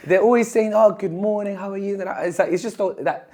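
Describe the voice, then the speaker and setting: A man's voice: high voice, steady tone, quick pace, dark tone. A young man from Colombia, a podcast guest telling stories in casual chat with many fillers and restarts.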